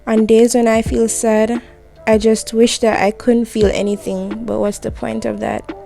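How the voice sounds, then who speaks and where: A woman delivers a gentle, reassuring, intimate spoken monologue, speaking directly to the listener like a heartfelt voicemail. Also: a little high, a little slow, creaky, faint music behind.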